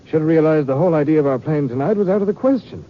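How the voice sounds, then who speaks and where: A man's voice: a little high, expressive, rapid-fire, natural voice. Man acting a gloomy, theatrical, swooping stage player. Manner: theatrical, lamenting. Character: gloomy, fretful traveling stage actor, self-pitying. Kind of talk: old-time radio western acting. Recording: muffled, noisy vintage radio recording.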